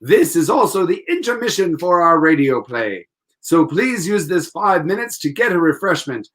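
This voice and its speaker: A man plays a vintage 1940s radio announcer. His voice sits high, and his delivery is formal, polished and salesmanlike, as in an old sponsored broadcast. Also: very animated, moderate pace, a bit bright. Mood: polished, upbeat.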